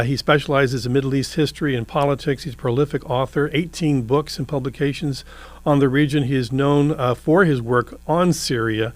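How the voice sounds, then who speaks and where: A man hosting a radio talk show, introducing a guest and taking calls briskly. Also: mid-range voice. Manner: brisk, conversational. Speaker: host.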